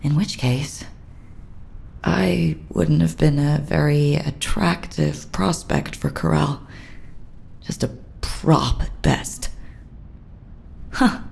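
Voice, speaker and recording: Female military captain, very gravelly, low-pitched, bitter, guilt-ridden, dramatic video game acting. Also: a little mellow, full character voice. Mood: bleak. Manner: bitter, self-reproaching.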